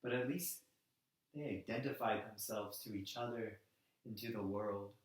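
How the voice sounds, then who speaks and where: A man's voice: lowish voice, close to an everyday voice. Man acting an impassioned activist, echoey room. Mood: quiet, mournful. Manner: emphatic, halting delivery in short weighted phrases. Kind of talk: theatrical dramatic monologue.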